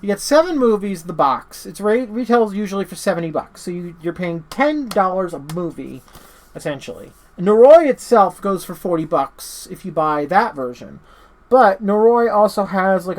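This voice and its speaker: A man's voice: sits high, expressive, moderate pace. A man hosting a casual, unscripted review, rambling and often stammering and interrupting himself as he talks. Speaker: host of a horror movie review channel.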